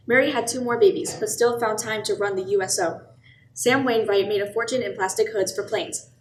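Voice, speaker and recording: A woman's voice: mid-range voice, lightly acted. A woman playing the storytelling narrator of an amateur radio play, reading scripted narration. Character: storybook narrator recounting a small town's wartime years. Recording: echoey room with background noise.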